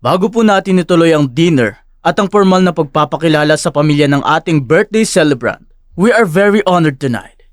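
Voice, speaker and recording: A man's voice: a little high, animated, fairly quick, no room sound, clean studio sound, lightly acted. A man playing a polite, ceremonious event host making a stage announcement in an audio drama.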